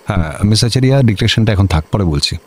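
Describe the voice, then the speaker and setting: A man's voice: fairly low pitch, lively intonation, fast, slight background noise, close to an everyday voice. Impatient male boss played by an actor. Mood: officious. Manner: curt, questioning, authoritative. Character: impatient, baffled male district magistrate, officious boss. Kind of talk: comic Bengali radio drama acting.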